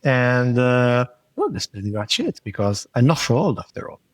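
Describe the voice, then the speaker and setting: A man's voice: medium pitch, big pitch swings, moderate pace, dry recording. Italian man speaking modestly, dry sound. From Italy. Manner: modest, short plain sentences. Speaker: guest, startup co-founder. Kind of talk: casual interview talk.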